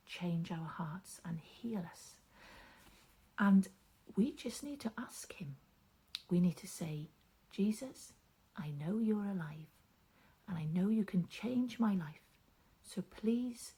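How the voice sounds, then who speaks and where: A woman reading and explaining a story for children, with gentle dramatic phrasing that often drops into a hush. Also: medium pitch. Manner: dramatic, deliberate phrasing, often breathy and hushed.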